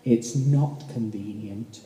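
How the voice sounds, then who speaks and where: A man's voice: lowish voice, slow pace, warm tone. A man playing a grumpy, miserly old businessman in a radio play, curt, cold and scornful. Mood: irritated. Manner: curt, dismissive. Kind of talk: stage radio play acting. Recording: echoey hall with noise and clicks.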